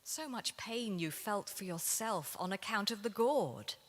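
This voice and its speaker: A woman reader delivering a dramatic spoken word story in a rhythmic, deliberate style. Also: mid-range voice, animated. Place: large reverberant temple hall. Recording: long hall reverb.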